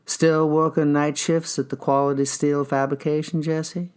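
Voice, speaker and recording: A man voicing a tall, dapper detective in an audiobook, deceptively friendly and measured as he probes. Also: a little high, little pitch movement, darkish tone, smooth, voice pushed up, natural voice. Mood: cool, probing. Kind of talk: audiobook character dialogue.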